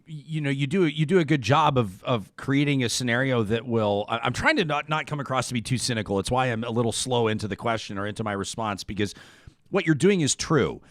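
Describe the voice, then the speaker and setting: A man hosting a conversational interview, speaking haltingly and often repeating words as he rephrases. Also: mid-range voice, a bit bright, dry recording. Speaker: talk show host.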